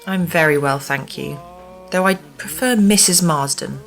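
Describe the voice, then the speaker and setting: Radio play matron: prim English female, officious and pompous, pitched slightly low woman performing. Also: a little slow, faint music behind. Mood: brisk, officious. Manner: formal, pompous, precise. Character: prim, officious care home matron, stern and superior. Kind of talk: amateur radio play acting.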